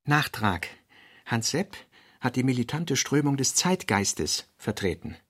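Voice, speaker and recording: A man giving a scripted literary narration, reading out notes and drafts in deliberate fragments with pauses. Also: mid-range voice, sing-song, a bit bright, slightly rough, no echo, clean studio sound. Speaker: radio drama narrator.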